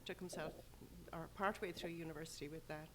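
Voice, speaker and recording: A woman's voice: deep voice, steady tone, fairly quick, crowd noise. An older woman with an Irish accent, a guest in an interview, telling stories candidly with a light laugh. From Ireland. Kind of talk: conversational interview.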